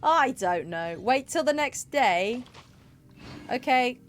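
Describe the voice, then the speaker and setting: A woman's voice: high-pitched, expressive, slow. Woman streamer, chatty and playful, light game music underneath. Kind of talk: casual gameplay commentary.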